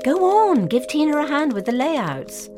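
An actress playing a steady, reassuring woman at a newspaper office in an audio drama, calm and brisk. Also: sits high, music in the background.

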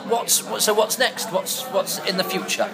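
A man hosting a podcast, asking halting questions full of restarts and laughing easily. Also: high-pitched, fairly even intonation, gravelly, music in the background. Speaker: podcast host. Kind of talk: casual interview chat.

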